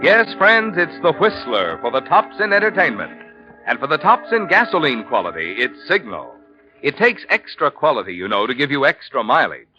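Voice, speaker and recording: Male announcer, vintage radio sponsor pitch, polished, emphatic, dull recording, faint music behind. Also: sing-song, moderate pace.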